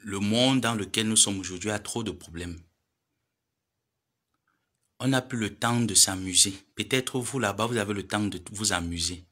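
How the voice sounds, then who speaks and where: A man's voice: fairly low pitch, moderate pace, bright, forceful tone. A man, lecturing and pleading in a social media live monologue. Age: 30s. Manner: preachy, lecturing, pleading then scolding.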